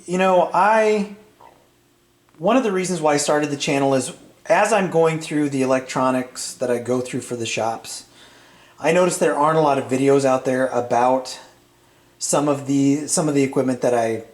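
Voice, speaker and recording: A middle-aged man chatting casually and unscripted as a video host, in a halting, rambling way with hesitant phrasing. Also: mid-range voice. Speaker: vintage audio repair channel host. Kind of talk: casual unscripted product chat. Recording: roomy, echoey sound.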